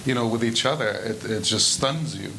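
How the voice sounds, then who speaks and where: A man speaking as a panelist in a roundtable discussion, reflective and relaxed, with frequent "you know". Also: mid-range voice, normal speed, background noise. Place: hall with audience.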